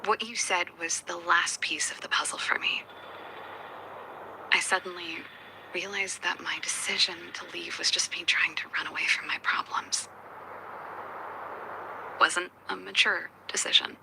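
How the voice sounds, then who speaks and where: An actress voicing a reflective, candid adult sister in a video game, with a breathy, husky voice and a measured, gently wry, confiding way of talking. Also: female voice, lowish voice, steady tone, whispered, rough recording. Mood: reflective, resolved. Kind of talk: English video game voice acting.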